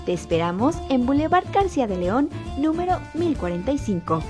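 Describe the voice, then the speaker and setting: Mexican lady doing a radio ad, highish voice, super lively intonation, music playing. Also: fairly quick. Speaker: commercial announcer. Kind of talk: scripted advertising voice-over.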